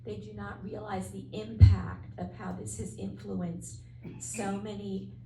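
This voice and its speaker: A woman with a soft voice representing her neighborhood, speaking heartfelt words at a public meeting. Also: mid-range voice, little pitch movement, measured pace. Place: meeting hall. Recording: echoey hall from far off, noisy.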